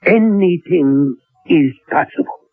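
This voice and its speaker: Elderly professor, animated and husky. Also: male voice, a little high. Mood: musing, excited. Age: older. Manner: deliberate, musing, theatrical delivery with hesitations. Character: elderly learned male professor, musing and secretive. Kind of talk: old-time radio drama acting. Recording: old radio recording, thin narrow band, roomy.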